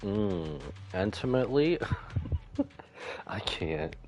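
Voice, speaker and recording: Deep voiced guy streaming a game, jokey reactions, faint music behind. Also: sing-song, extremely slow, darkish tone. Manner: joking, exclamatory. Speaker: gaming streamer. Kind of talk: casual reaction commentary.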